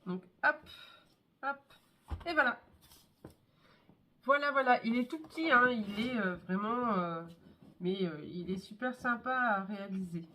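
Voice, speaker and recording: A woman with a cold showing her scrapbook albums in a casual, chatty way, with many fillers and pauses. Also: fairly high pitch, lively intonation, bright, forceful tone. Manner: chatty, hesitant, many fillers and "hop, voilà". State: has a cold, congested. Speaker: scrapbooking vlogger. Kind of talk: casual show-and-tell craft video.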